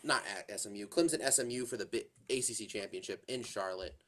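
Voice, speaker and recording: A man's voice: fairly low pitch, quick pace, fairly bright tone, a little hiss or hum. A congested man co-hosting a casual college football podcast, rambling with plenty of fillers and restarts. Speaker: podcast co-host. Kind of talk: casual sports chat.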